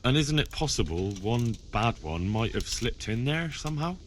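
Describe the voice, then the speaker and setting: A man's voice: medium pitch, very animated, normal speed, slight background noise, natural voice. An actor playing an earnest, inquisitive young medieval man in video game dialogue, polite and plain-spoken. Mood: polite, probing.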